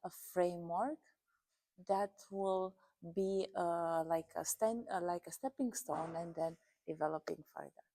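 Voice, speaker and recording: A woman's voice: lowish voice, steady tone, unhurried, warm tone. A woman from Romania, a guest in an interview, talking animatedly and often rephrasing as she goes. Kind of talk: casual interview conversation.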